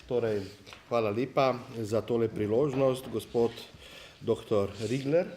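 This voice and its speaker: A man with a Slovenian accent, a festival director, speaking formally and deliberately at a press conference. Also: mid-range voice, mellow, slight background noise.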